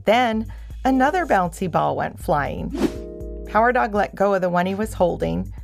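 A woman narrates a children's story in a mid-range voice. There is noticeable creak in her tone. Faint music plays beneath the scripted telling. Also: mid-range voice, lively intonation, normal speed.

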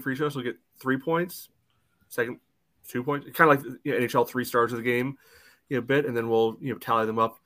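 A man's voice: medium pitch, a bit bright. Chatty man co-hosting a sports podcast. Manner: chatty, peppers talk with "you know". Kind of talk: casual sports discussion.

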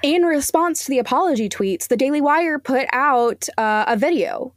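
A woman's voice: high voice, lively intonation, normal speed, no room sound. Blunt, emphatic woman, dry close sound. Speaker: guest podcast critic and journalist. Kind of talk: casual podcast interview, opinionated.

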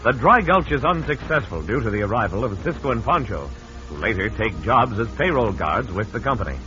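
A man's voice: lowish voice, very animated, a little fast, sharp tone. An American man narrating a radio serial in a theatrical, formal announcer style. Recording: muffled vintage radio recording.

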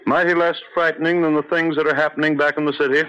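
Imperious, work-obsessed scientist male actor, higher-pitched, snapping orders in a muffled radio play. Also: level tone, fast, a bit bright, clear, resonant tone, close to an everyday voice. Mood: commanding. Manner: curt, domineering. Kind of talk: old-time radio drama acting. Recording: muffled, noisy old radio recording.

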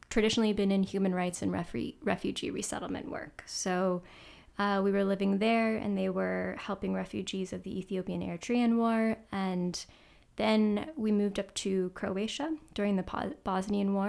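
A young adult woman, a guest journalist, sounding hoarse from a cold and chatting casually with plenty of ums. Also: medium pitch, darkish tone. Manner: chatty, self-deprecating, lots of ums. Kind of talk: casual interview chat.

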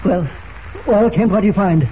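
A man's voice: high-pitched, normal speed, close to an everyday voice. An actor playing a commanding, grave male professor in an old radio adventure drama, speaking formally. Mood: tense. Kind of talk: 1940s radio drama acting. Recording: muffled, noisy old radio recording.

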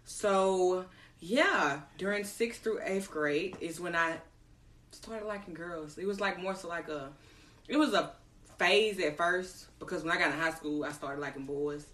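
A woman's voice: fairly low pitch, unhurried, fairly bright tone, far from the mic, a little hiss or hum. A woman guest on a casual podcast, laid-back and drawling as she tells her story. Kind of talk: casual chat.

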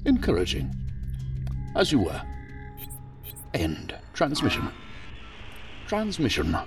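An actor playing a commanding, dryly witty ambassador in a science fiction audio drama, giving formal orders with theatrical flourishes. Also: male voice, medium pitch, wildly swooping pitch, slow pace, far from the mic, music in the background. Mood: coolly pleased.

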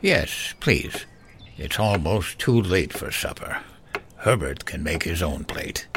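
A man playing an uneasy, stubborn old father and former soldier in an audio drama, halting and defensive. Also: deep voice, lively intonation, background noise, exaggerated voice. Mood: musing.

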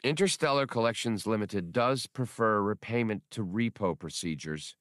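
A man voicing a small, furry alien debt collector in an audiobook, squeaky, fussy, officious and stubbornly insistent. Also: normal speed, fairly bright tone, no room sound, studio quality, lightly acted. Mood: nervously firm.

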